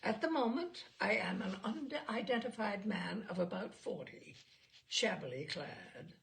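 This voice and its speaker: A woman's voice: mid-range voice, lively intonation, a little slow, vocal fry, fairly bright tone, a little hiss or hum. A woman reader playing a smug, pompous man of about forty, a professional cliché expert testifying in a comic story. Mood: smug, obliging. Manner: pompous, pat answers.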